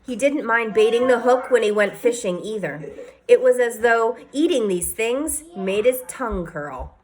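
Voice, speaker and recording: A woman teacher reading a children's novel aloud with expressive storytelling and varied emphasis. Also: on the high side, normal speed.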